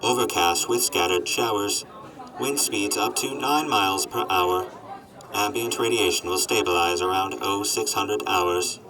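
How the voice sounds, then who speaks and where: A man's voice: lowish voice, unhurried, recorded from a distance, a crowd in the background, lightly acted, rough recording. A man playing a gloomy, miserable robot. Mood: flat. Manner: flat, monotone machine readout. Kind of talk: radio drama acting.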